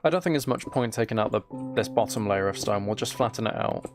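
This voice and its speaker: A man livestreaming a game with a flat, mellow voice, rambling casually and softly to his viewers in a low-key way. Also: fast, over music. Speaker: live streamer. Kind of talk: casual gaming livestream chat, soft ASMR-like. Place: desk at home, live stream.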